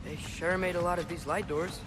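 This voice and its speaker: A man's voice: high-pitched, fairly even intonation, brisk, very noisy, natural voice. An actor playing an earnest, curious teenage boy in a video game, eager and inquisitive.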